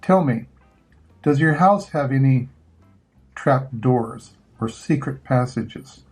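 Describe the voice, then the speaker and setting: Storybook kid sleuth, voiced by an older man: serious, deadpan, clipped sentences, husky tone, slow delivery. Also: medium pitch, faint music behind. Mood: serious, questioning. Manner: terse, deadpan, businesslike. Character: matter-of-fact boy detective, self-assured and serious.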